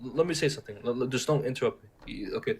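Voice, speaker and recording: A man's voice: medium pitch, very fast. A young man from Syria, raised near Detroit, with a slight Arabic accent, calling in to a casual debate, rambling and repeating himself insistently. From born in Syria, raised in Detroit area. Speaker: caller.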